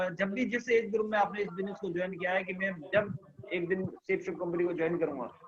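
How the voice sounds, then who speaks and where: A man's voice: high voice, dull audio. A man running a motivational business training on a video call, repeating his points with emphasis and putting questions to the audience.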